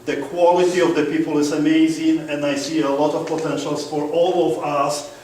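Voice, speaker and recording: A man's voice: a little high, level tone, moderate pace, darkish tone, a little hiss or hum. A man from Poland with a strong Polish accent giving a seminar talk, keeps checking that the audience agrees with him. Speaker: guest speaker. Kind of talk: seminar presentation. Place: meeting room. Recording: echoey room from far off.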